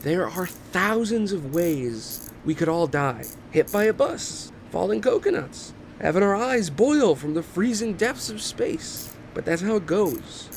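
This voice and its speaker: A man playing an irritable, high-pitched male engineer. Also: sing-song, measured pace, few pauses, full character voice. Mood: grim, impatient. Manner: exasperated, condescending rants. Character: Gordon, irritable, impatient, sarcastic male engineer. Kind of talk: amateur movie script table read. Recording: noticeable background noise.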